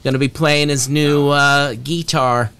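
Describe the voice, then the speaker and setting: Wry male panelist, bright voice. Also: on the high side, fairly even intonation, a little slow, clean tone. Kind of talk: casual panel chat.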